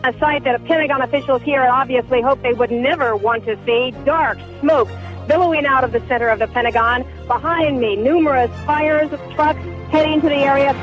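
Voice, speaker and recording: A woman with a high voice reports live from the scene as a news correspondent. Faint music runs behind her. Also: a little fast, muffled recording.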